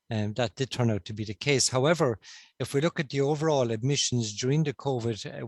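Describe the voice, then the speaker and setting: A middle-aged man with a Cork Irish accent gives a conference talk from a room over a video call. He speaks into a headset mic at a middling pitch, with a close, dry sound. His delivery is measured and peppered with uh and um. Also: no echo. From Ireland. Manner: measured, with frequent uh and um fillers. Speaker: stroke consultant giving a talk. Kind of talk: remote conference presentation.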